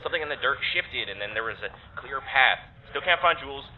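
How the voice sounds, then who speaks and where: An actor playing a snarky, uneasy young man exploring a strange mindscape in an audio drama, speaking in a halting way. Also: medium pitch, lively intonation, natural voice. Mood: wary, subdued. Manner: hesitant, halting, sardonic. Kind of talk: naturalistic audio drama acting. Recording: tinny phone-like filter with background noise.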